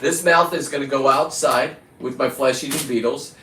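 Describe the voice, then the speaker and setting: A man hosting a casual livestream, talking in a halting, digressive way as he chats to someone off-screen and to his viewers. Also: medium pitch, steady tone, bright, forceful tone, a little hiss or hum. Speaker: live stream host. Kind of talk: casual, rambling hands-on livestream chat. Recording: echoey room, distant from the mic.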